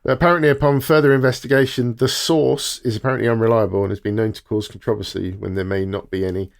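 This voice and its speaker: A man's voice: on the low side, fairly even intonation, quick pace, vocal fry. A man co-hosting a podcast, informally reading out gaming news items to the others.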